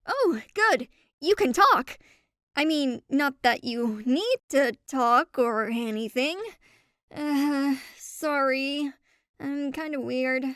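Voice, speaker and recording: A woman's voice: high-pitched, animated, slow, fairly bright tone, no room sound, clean studio sound, exaggerated voice. A woman voicing a spunky, friendly and teasing young goat girl in an audio drama, perky and chatty. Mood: awkward, friendly.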